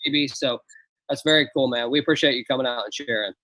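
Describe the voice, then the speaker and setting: A man's voice: mid-range voice, fast talker, sharp tone, dry recording, rough recording. A man hosting a live online call, upbeat and reassuring, talking casually with plenty of fillers. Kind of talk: casual live-stream chat.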